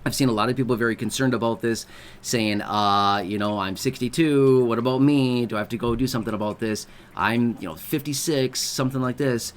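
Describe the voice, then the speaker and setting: A man's voice: mid-range voice, a little fast. Chatty man explaining to viewers. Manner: conversational, keeps checking in with the listener. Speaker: video host explaining benefits. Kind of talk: casual explainer talk.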